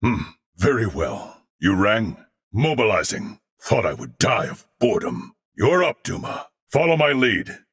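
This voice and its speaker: A gallant, disciplined warrior man from a video game. His voice is forceful and gravelly, swinging widely in pitch. He phrases everything in a formal, old-fashioned, theatrical way, proud and resolute in temper. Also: very animated, a little slow, full character voice. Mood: dutiful, resolute. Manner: formal, archaic, crisply declaimed phrasing.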